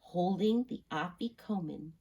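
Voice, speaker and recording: A woman's voice: fairly low pitch, measured pace, dark tone, breathy edge. A woman reading a children's story aloud, expressively, sometimes turning to address her listeners.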